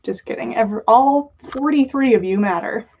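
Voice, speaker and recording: A woman's voice: fairly high pitch, normal speed, slight background noise. A woman hosting a casual livestream, chatting and joking playfully with her viewers. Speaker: podcast host running a livestream. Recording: dull, lo-fi livestream audio.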